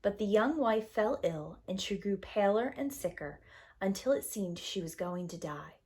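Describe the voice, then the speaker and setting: A woman storyteller reading a fairy tale to young children in a dramatic, deliberate way. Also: medium pitch, animated, a little mellow. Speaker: preschool teacher, storyteller.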